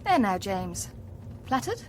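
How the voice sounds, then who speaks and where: A woman's voice: mid-range voice, sing-song, slow pace. A middle-aged woman acting in a radio drama as an assertive, exasperated mother with a firm, pointed manner. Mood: questioning. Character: assertive, exasperated mother, sharp with her estranged partner. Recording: clear background noise.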